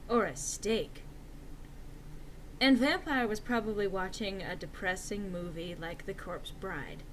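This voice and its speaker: A woman's voice: mid-range voice, slight background noise, rough recording. A woman narrating a story aloud in a theatrical, emphatic, comic dramatic-reading style.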